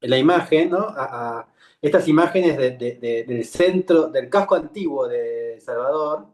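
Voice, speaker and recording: A man with a Rioplatense Spanish accent, hosting an interview and asking curious, relaxed questions. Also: mid-range voice, a little slow. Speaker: interview host. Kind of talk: interview conversation.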